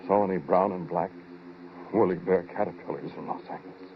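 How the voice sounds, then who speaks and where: An actor playing a haunted, brooding scientist with a halting, intense delivery in 1940s radio horror style. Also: male voice, low-pitched. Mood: brooding, uneasy. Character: haunted, brooding male scientist recounting a nightmare. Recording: noisy, muffled 1940s radio recording.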